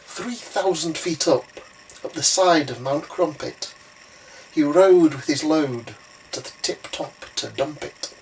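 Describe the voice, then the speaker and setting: A man's voice: a little high, slow, vocal fry, darkish tone. A man reading a rhymed storybook aloud in a dramatic, theatrical way. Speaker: story reader. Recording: roomy, distant, faintly noisy recording.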